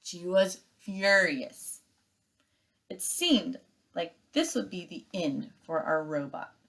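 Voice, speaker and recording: A woman with a fairly low voice speaks as a teacher at story time. Her intonation is very animated as she narrates expressively and gives simple instructions. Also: takes it slowly, creaky. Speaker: teacher reading aloud.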